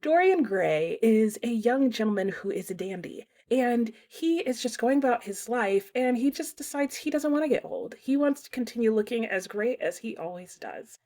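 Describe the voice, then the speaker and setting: A young woman hosting her book channel, announcing a readalong in a chatty, casual way with lots of fillers and lively swings in pitch. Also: normal speed, few pauses. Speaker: booktube host.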